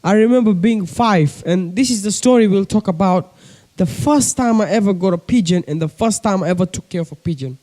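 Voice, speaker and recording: A man's voice: sits high, a little fast, darkish tone. A young man from Kenya with a coastal Kenyan accent, chatting casually to camera from his bedroom about his hobby and often calling his viewers guys. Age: young adult. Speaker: pigeon-keeping vlogger. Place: bedroom with a desk.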